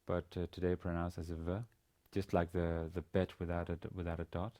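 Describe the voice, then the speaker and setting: A man in his forties, a native Danish speaker with a Danish accent, giving an instructional lecture in a halting, hesitant manner with repeated words. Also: low voice, monotone, warm tone. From Denmark. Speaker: university language teacher. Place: indoor studio with plain grey backdrop.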